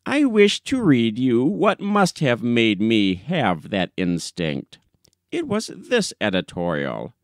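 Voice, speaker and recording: A man voicing an austere, indignant old gentleman who questions testily in an audiobook story. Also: mid-range voice, huge pitch swings, slow, dry recording, studio quality, full character voice. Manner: stern, testy questioning.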